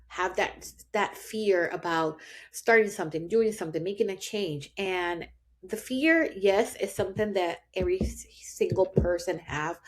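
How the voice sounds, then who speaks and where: A woman with a Puerto Rican accent and Spanish as her first language, hosting a motivational podcast and talking straight to her listeners in an earnest, encouraging way. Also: on the low side. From Puerto Rico. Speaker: podcast host.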